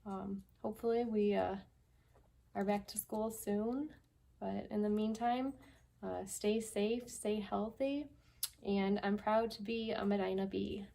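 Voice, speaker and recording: A woman's voice: mid-range voice, fairly even intonation, vocal fry, warm tone. Woman storyteller speaking simply to children. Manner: simple, deliberate phrasing for young children. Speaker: guest storyteller, school staff member. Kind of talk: children's story read-aloud and advice.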